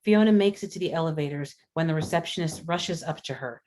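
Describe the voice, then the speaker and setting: A woman with a low-pitched voice serves as narrator for a script read-through. She delivers scene headings and stage directions as scripted narration. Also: brisk, darkish tone.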